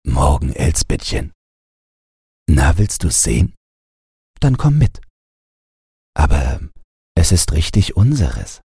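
A man's voice: extremely low pitch, very animated, takes it slowly, long pauses, dark tone, breathy edge, no echo, clean studio sound, full character voice. A man voicing a good-natured, teasing doctor with a friendly but firm manner in a storybook reading.

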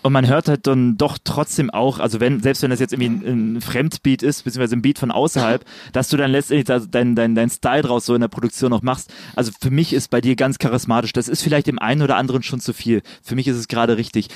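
A man's voice: medium pitch, fairly even intonation, fast talker, hardly any pauses, a little mellow, no room sound, studio quality. A man hosting a music podcast interview, asking long, winding questions full of hesitations. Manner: long winding questions with many "ähm" and "letztendlich".

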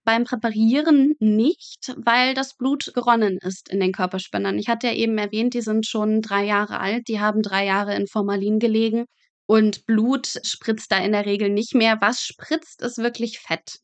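A woman's voice: medium pitch, steady tone, moderate pace, no echo. A young woman speaking as an interview guest, chatting and explaining things in a casual storytelling way. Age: young adult. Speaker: interview guest, medical student.

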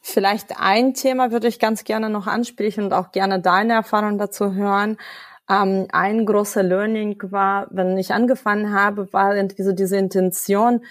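A woman from Ukraine, talks through her work as a guest on a podcast. She searches for words and restarts often, with a steady middle pitch. The sound is dry and close. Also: no echo. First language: Russian. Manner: thoughtful, many fillers and restarts. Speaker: guest, data science expert. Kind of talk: podcast interview.

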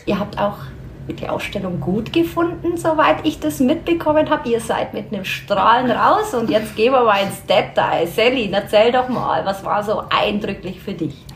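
A woman's voice: on the high side, expressive, normal speed, a little hiss or hum. A woman hosting a podcast interview, enthusiastic and chatty. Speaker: podcast host. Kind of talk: casual interview. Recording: roomy echo.

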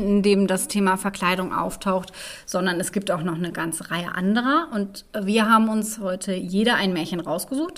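A woman co-hosting a podcast, explaining fairy tales in a conversational way. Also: medium pitch. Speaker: podcast co-host.

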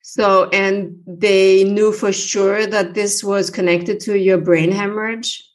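A woman's voice: mid-range voice, little pitch movement, takes it slowly. A woman hosting an interview, earnestly advising listeners, with frequent fillers. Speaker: podcast host.

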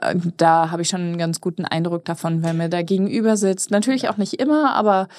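A woman's voice: on the low side, steady tone, fast talker, a little mellow, a little hiss or hum. A woman co-hosting a casual business podcast, explaining things earnestly with many small fillers. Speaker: podcast co-host. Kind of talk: casual chat.

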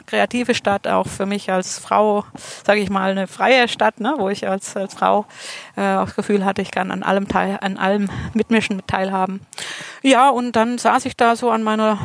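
A woman's voice: medium pitch, gravelly, dry recording. A middle-aged woman from southern Germany, a politician chatting in an interview, full of fillers and restarts. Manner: chatty, many fillers and restarts, laughs easily. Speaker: state politician guest. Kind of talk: relaxed interview talk. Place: garden allotment outdoors.

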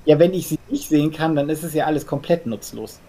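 A man's voice: on the high side, quick pace, warm tone. Man playing a stammering, fussy male vampire. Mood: hesitant instructing. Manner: stammering, repeats words, formal and earnest. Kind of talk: improvised tabletop role-play audio drama.

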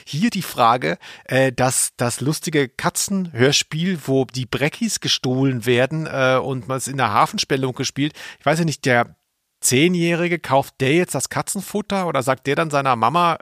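A man's voice: medium pitch, normal speed, a bit bright, dry recording. Dry close-sounding man chatting on podcast. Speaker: podcast co-host. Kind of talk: casual chat about audio dramas.

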